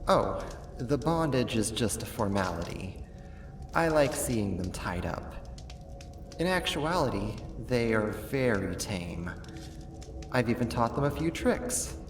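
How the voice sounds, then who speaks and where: A sadistic half-spider monster, acted out by a performer for an ASMR horror roleplay. The delivery is unhurried and theatrical, dripping with condescension toward a human captive. The pitch is moderate, with some background noise under the voice. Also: sing-song. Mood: smug, possessive.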